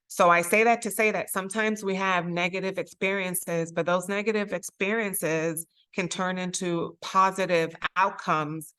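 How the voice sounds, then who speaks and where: A middle-aged woman from Puerto Rico, Spanish her first language, speaks to a room of students with earnest emphasis. Her voice sits low and sounds bright and forceful. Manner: earnest, emphatic, repeats key phrases. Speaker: circuit court judge, guest speaker. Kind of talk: motivational talk to students.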